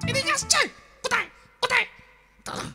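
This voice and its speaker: An actor voicing an irate, blustering bakery owner in a children's cartoon, with a creaky, strained voice and repeated words. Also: very high-pitched, a little fast, loud music behind the voice. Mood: furious. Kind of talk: exaggerated children's cartoon acting.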